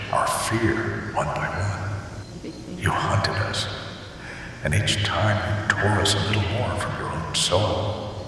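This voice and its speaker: A vengeful spirit fused from four ghosts, played by a man, hurls menacing accusations in a horror tale. Its voice is low yet sharp-edged, and it sounds distant, echoing through a long hall over faint hiss.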